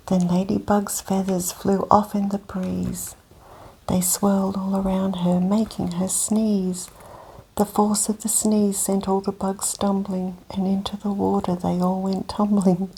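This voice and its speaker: A woman whispering softly as she reads a children's picture book aloud for an ASMR session. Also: mid-range voice, fairly even intonation, slow pace, creaky, a little hiss or hum, low-quality recording. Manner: soft gentle whisper. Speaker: host. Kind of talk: ASMR whispered storybook reading.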